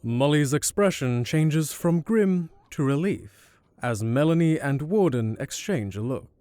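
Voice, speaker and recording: A man's voice: fairly high pitch, expressive, a little slow, mellow, dry recording. A man reading scripted narration for an audio drama.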